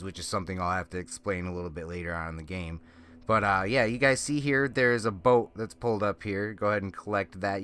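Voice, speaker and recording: Male gaming host: low-pitched, a bit stuffed-up, rambling casual explainer, faint music underneath. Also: sharp tone, clean tone. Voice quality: slightly nasal from a cold. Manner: rambling, self-correcting explanations. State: congested with a lingering cold. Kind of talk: casual game walkthrough commentary.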